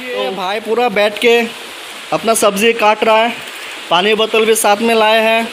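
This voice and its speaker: A man's voice: high voice, little pitch movement, fairly bright tone. A young man filming a casual travel vlog on his phone outdoors, chatty and addressing his viewers. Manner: chatty, repeats "दोस्तों" and "मतलब", addresses viewers. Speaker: vlogger. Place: outdoors by a forest stream and picnic shelter. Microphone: phone held at arm's length. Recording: noisy outdoor phone recording. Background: rushing stream water.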